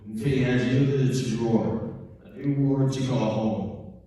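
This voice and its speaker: A man voicing a solemn heroic robot leader in a grave, measured monologue about exile. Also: mid-range voice, warm tone, voice pushed down, lightly acted. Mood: solemn, resolute. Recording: echoing hall, distant mic, faint noise.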